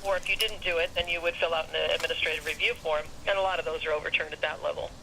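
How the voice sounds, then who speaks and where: A man's voice: a little high, steady tone, racing, gravelly. A man working as an administrative clerk, carefully explaining procedure on a telephone call, correcting his terms as he goes. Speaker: parking citation administration clerk. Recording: bright telephone call with faint noise.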